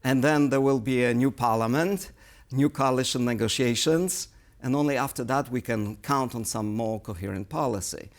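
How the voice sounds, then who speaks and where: Gravelly man giving analysis. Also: mid-range voice. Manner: measured, explanatory, analytical. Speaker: guest political analyst on a current affairs show. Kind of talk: studio interview commentary.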